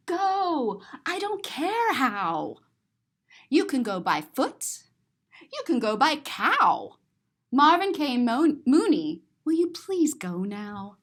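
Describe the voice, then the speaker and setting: A woman reading a picture book aloud to children in an expressive, sing-song way, drawing out words and describing the pictures. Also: sits high. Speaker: children's story reader and teacher.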